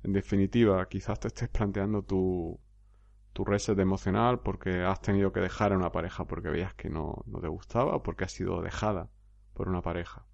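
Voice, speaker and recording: A man's voice: deep voice, fairly even intonation, a little fast, creaky, darkish tone, dry recording, muffled recording. A man hosting a podcast, answering a listener bluntly and emphatically, often repeating his points. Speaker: podcast host and coach. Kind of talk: podcast commentary.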